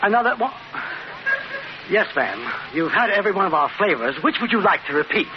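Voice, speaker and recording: A man's voice: high-pitched, big pitch swings, a little fast. An actor playing an eager, fussy soda fountain waiter in an old radio comedy, relishing each item he offers. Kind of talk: 1940s-50s radio situation comedy acting. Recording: muffled noisy old radio broadcast.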